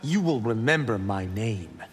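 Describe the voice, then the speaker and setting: An actor playing a confident young monk warrior in a video game, boastful and challenging. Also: male voice, on the low side, sing-song, unhurried, slight background noise. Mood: brash, defiant. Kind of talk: dramatic video game acting. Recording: long hall reverb, music underneath.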